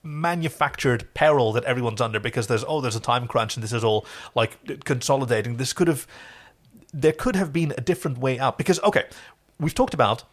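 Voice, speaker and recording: A man's voice: mid-range voice, brisk. Man chatting as a podcast co-host. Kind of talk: casual TV episode review chat.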